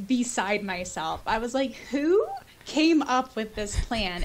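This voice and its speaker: A woman podcast co-host in casual chat, telling things in an animated, exclamatory way. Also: a little high.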